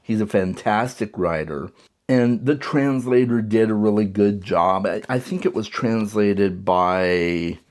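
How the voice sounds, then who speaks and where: A man with a slightly low voice chatting through a book review. He sounds enthusiastic and conversational, and now and then he stumbles and restarts a sentence. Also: a little slow. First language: English. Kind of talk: casual spoken book review.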